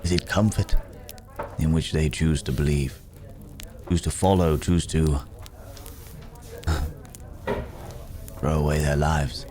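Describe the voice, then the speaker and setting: Very deep, slow, weary werewolf gentleman, acted by a man. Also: steady tone, crowd noise. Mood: brooding, philosophical. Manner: halting, musing, gentlemanly, with hums and murmurs. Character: weary, courteous male werewolf traveler, wry and brooding. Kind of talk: intimate ASMR fantasy roleplay acting.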